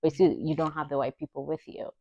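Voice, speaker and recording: A woman's voice: deep voice, level tone, quick pace, darkish tone, no echo, muffled recording. A young woman in a casual chat, rambling and restarting her sentences. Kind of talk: casual chat switching between Swahili and English.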